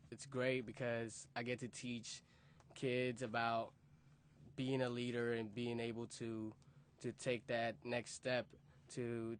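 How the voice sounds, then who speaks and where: Young man with a flat, even voice. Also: medium pitch, slight background noise. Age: 20s. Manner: flat, earnest, repeats words. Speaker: music production program leader. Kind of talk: recorded interview recollection. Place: museum interview room.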